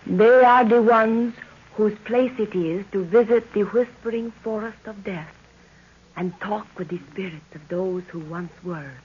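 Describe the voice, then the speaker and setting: A woman's voice: mid-range voice, a little slow, a little hiss or hum, close to an everyday voice. An actress playing a commanding, mystical jungle witch in a 1930s radio drama, speaking solemnly and formally. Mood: solemn, mysterious. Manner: solemn, formal, speaks of herself in third person. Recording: muffled old 1930s radio recording.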